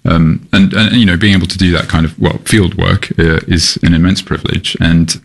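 Deep, gravelly man answering thoughtfully. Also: fairly even intonation. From Malaysia. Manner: thoughtful, halting, many ums and restarts. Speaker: seed bank scientist. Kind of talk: conversational interview answers.

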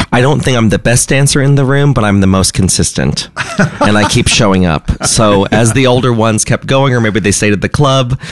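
A man in his thirties with an American accent, chatting as a dancer guest in a radio interview, lively and laughing often. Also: on the low side, no echo. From Hong Kong. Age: 30s.

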